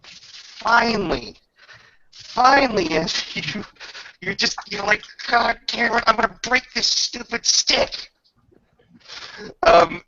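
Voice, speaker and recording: A man with a gravelly voice acts as game master, casually narrating a tabletop roleplaying game with informal, descriptive talk. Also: high voice, pushed and bright, dull audio.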